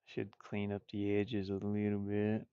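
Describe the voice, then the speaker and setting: A man's voice: fairly low pitch, monotone, unhurried, clear, resonant tone, no echo, dull audio. A man chatting casually on a livestream while he works, rambling and half muttering to himself. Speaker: streamer, game developer. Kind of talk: casual livestream chat while drawing game art.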